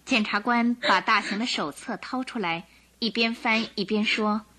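A woman narrating a radio drama as a scripted story, in a dramatic, expressive storytelling manner. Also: medium pitch, normal speed, poor audio.

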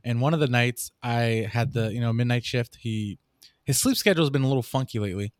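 A young man, a new father, chatting casually on a podcast and rambling through stories with sudden lively jumps in his voice. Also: quick pace, dry recording, clean studio sound. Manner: rambling storyteller, animated jumps in pitch. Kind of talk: casual chat.

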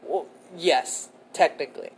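A man's voice: fairly high pitch, measured pace, a little hiss or hum, low-quality recording. A man in his twenties co-hosting a podcast, chatting in a laid-back, rambling way with lots of fillers and casual swearing. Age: 20s. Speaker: podcast co-host. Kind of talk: casual chat.

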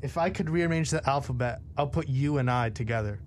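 A man's voice: mid-range voice, fairly quick. An eighteen-year-old man from New Jersey co-hosting a show in casual, joking chat. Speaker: co-host. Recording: faint background noise, room ambience.

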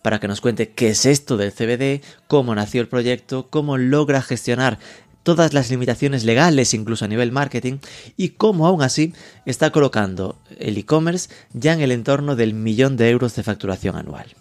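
A man hosting an interview podcast with a steady, scripted delivery. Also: mid-range voice, moderate pace. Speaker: podcast host.

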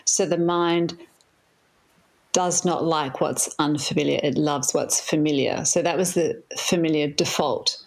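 A woman therapist guest on a podcast, explaining with lively enthusiasm. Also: low voice, unhurried. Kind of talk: podcast interview.

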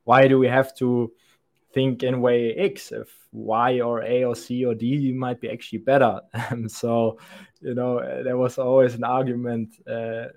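A man's voice: medium pitch, level tone, a little slow, gravelly. Young man rambling through interview answers. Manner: rambling and thoughtful, frequent restarts and soft laughs. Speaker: podcast guest, sales account executive.

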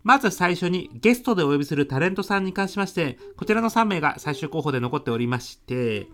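A woman acts out a young salaryman son-in-law in a comic radio play. The voice sits fairly low and moves around in lively swings. He is polite to a fault, businesslike and a little hesitant. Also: fast talker. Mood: businesslike, courteous. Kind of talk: comic radio drama acting.

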